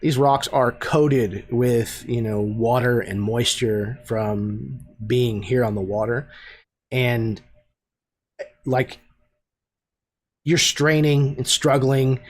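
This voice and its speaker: A man narrating a tabletop role-playing game as game master, relaxed and hesitant, with many fillers. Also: mid-range voice.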